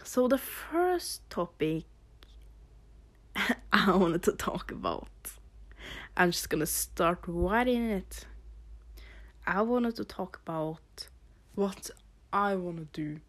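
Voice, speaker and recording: A young woman from Norway with a Norwegian accent, hosting a casual podcast, rambling in a halting way with fillers and soft laughs. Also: lowish voice, lively intonation. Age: teens or young adult. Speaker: podcast host.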